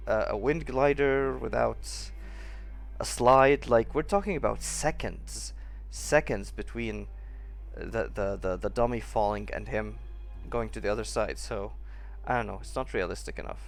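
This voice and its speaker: A male streamer with a mid-pitched voice reacts to a game in casual commentary. He sounds sceptical and halting, thinking aloud over faint music. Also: creaky. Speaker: gaming streamer reacting to a playthrough.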